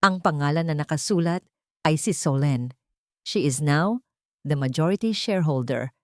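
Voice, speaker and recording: A woman's voice: low voice, normal speed, dry recording, studio quality, natural voice. A woman with a Filipino accent playing a composed professional man in an audio drama, speaking formally and with control. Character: composed professional man presenting legal papers to board.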